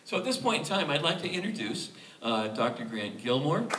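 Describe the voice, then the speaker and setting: A man hosting a lecture panel, speaking haltingly with many fillers as he gives figures and introduces guests. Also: fairly high pitch, big pitch swings, sharp tone, slight background noise. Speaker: lecture series host and panel moderator. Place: museum lecture hall. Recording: echoey room, mic far off.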